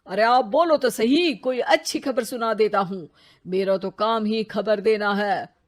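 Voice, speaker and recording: A woman's voice: medium pitch, a little slow, lightly acted. A woman voicing a cheeky male newsreader who lives inside a magic television, chatty and self-important, in a children's story.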